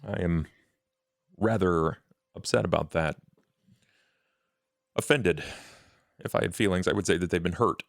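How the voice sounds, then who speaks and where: A low, heavily creaking voice for a fussy undead bureaucrat , played by a man. He speaks in measured, formal phrases with a deadpan air, as in a tabletop roleplay game, recorded close and dry. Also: dry recording. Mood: deadpan, aggrieved. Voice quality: gravelly, creaky.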